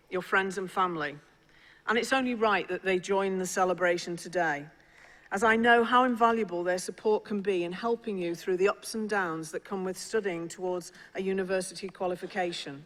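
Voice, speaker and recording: A middle-aged speaker with a Liverpool accent, a senior university official giving a measured, encouraging formal graduation address. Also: medium pitch, bright, forceful tone. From England (Liverpool). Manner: measured, encouraging, formal delivery with small restarts. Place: large ceremonial hall. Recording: long hall reverb at ceremony.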